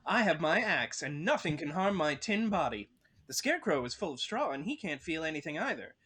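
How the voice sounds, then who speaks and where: A man playing a stiff, stalwart tin woodman in a table read, making measured, earnest declarations. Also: on the high side, sing-song, pushed and bright, breathy edge, exaggerated voice. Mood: brave. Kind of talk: table read of an audio drama.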